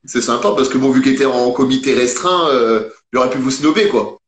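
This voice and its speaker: A man's voice: mid-range voice, fairly quick. Chatty man in a roomy echo. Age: 30s. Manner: chatty, joking questions. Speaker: host. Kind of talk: casual video call interview.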